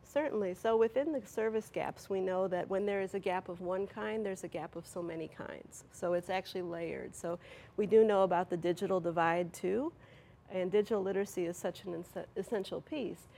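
A woman's voice: lowish voice, a little fast, creaky, warm tone, a little hiss or hum. A woman giving articulate, formal explanations as an organization's representative in an interview. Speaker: nonprofit media representative, interview guest. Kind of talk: polished explanatory interview answers.

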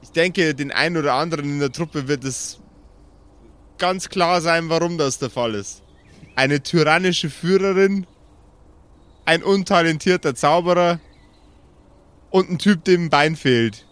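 Slow-spoken male game master. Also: on the high side, fairly bright tone, clear, resonant tone. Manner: measured, dramatic pauses. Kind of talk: improvised pen-and-paper storytelling.

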